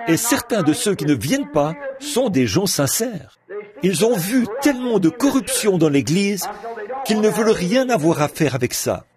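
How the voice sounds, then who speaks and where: A man delivering a translated sermon in a lively preaching cadence, repeating words as he goes. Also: high voice, normal speed, crowd noise. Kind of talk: French reading of a translated sermon.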